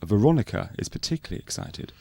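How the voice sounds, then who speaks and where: A man's voice: lowish voice, big pitch swings, quick pace, gravelly, dark tone, dry recording. A man reading scripted narration for a spoof fly-on-the-wall documentary.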